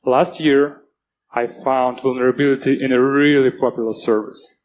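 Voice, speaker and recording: A man's voice: mid-range voice, steady tone, darkish tone, low-quality recording. Man, Russian accent, mid-range voice, conference presenter, plain and earnest delivery. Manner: earnest, plain-spoken, halting restarts. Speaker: conference speaker. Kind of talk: technical conference talk. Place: conference stage.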